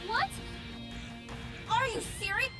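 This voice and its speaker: An excitable young robot pilot in an anime-style game. Her voice is extremely high, with loud music over it. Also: slow pace. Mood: shocked.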